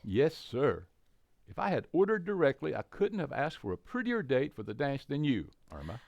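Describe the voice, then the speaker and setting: A very low, gravelly voice a woman playing a cocky young suitor in a radio comedy. The delivery is brash, chatty and eager, with lively swings. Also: moderate pace, dry recording, lo-fi sound, heavily acted. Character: cocky, eager young man on a blind date. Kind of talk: radio comedy stage acting.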